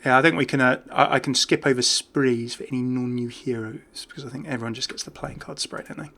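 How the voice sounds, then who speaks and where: A man with a bright, forceful voice at a middle pitch gives casual video game commentary. He is chatty and jokey, rambling along in an unscripted way. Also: steady tone, quick pace. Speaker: gaming YouTuber.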